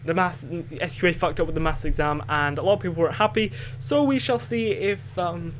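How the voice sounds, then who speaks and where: A man's voice: high-pitched, fairly quick, a little hiss or hum. A teenage boy from Scotland with a light Scottish accent, hosting a home podcast in a hesitant, rambling way full of fillers and restarts. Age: teens. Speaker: podcast host. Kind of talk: casual rambling monologue.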